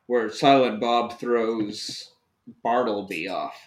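A man's voice: on the low side, slow pace. Joking, swearing man in a roomy echo. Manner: joking, profane, rambling recaps. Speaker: podcast host. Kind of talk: casual movie-recap chat. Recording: roomy echoey room.

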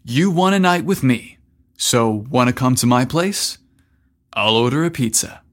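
A man voicing a casual, easygoing teenage quarterback in an audio story, relaxed and matter-of-fact. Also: medium pitch, measured pace, close to an everyday voice.

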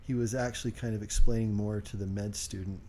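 A man co-hosting a casual podcast, speaking quietly and hesitantly with lots of fillers. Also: on the low side, steady tone, brisk, a little hiss or hum. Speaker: podcast co-host.

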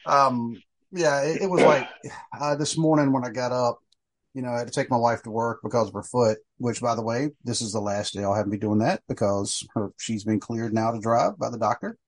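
Middle-aged male podcast host, casual and rambling, lots of ums and restarts, mid-pitched voice. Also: fairly quick, low-quality recording. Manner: rambling, many fillers and restarts. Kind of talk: casual chat.

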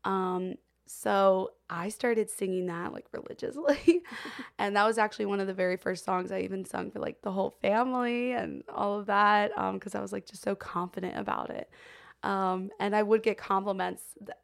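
Young woman podcasting, casual rambling, kinda gravelly fry on her voice. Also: medium pitch, steady tone, moderate pace. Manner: chatty, many fillers like "um" and "like", laughs often. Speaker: podcast co-host. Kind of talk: casual chat.

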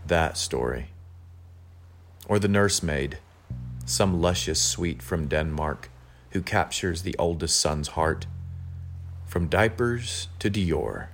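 Very slow, deep-voiced man reciting poetry. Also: expressive, slight background noise. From United States. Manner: measured, deliberate phrasing. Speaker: guest poetry reader. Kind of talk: literary poem recitation.

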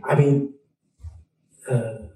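An older man recalling his past in an interview, speaking haltingly with many restarts. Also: mid-range voice, steady tone, mellow, very echoey, distant mic. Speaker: guest, Holocaust survivor. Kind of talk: recorded interview, storytelling.

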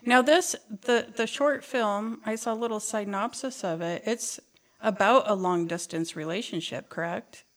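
A woman's voice: mid-range voice, normal speed. A woman hosting an interview, asking questions and responding in an affirming, conversational way. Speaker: interviewer host. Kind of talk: casual interview.

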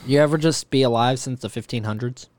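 A man's voice: mid-range voice, fast talker, darkish tone, dry recording. A man co-hosting a podcast, wisecracking with crude humor. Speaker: podcast co-host. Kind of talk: casual chat.

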